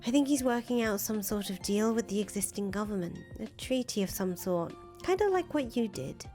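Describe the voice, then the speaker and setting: A woman's voice: mid-range voice, lively intonation, fairly quick, dark tone, close to an everyday voice. A woman voicing an anxious, disillusioned young fangirl in a visual novel, hesitant, earnest and self-deprecating. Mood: self-deprecating, uneasy. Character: anxious, disillusioned young fangirl, soft-hearted conscience of a revolutionary. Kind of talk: visual novel dialogue reading. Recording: music behind the voice. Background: music.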